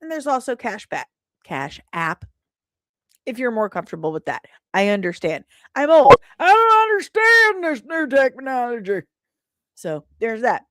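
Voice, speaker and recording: A woman's voice: on the high side, sing-song, a little slow, fairly bright tone, no room sound. Chatty woman podcaster, close dry sound. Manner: chatty, playful, informal. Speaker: podcast host and indie comic creator. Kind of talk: casual livestream sign-off and promotion.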